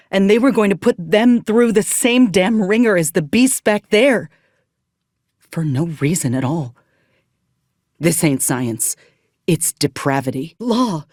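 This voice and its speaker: Low-voiced, earnest frontier woman soldier. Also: no echo, clean studio sound. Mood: outraged, distressed. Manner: earnest, folksy old-fashioned frontier phrasing. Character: principled, wounded woman soldier, weary but fiercely indignant. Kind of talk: dramatic video game acting.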